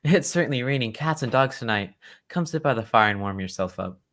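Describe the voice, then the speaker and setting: Genteel, old-fashioned gentleman storyteller for a radio play, played by a man, mid-pitched, loyal and excitable. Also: fairly quick, close to an everyday voice. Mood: genial, welcoming. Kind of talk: old-time radio drama acting.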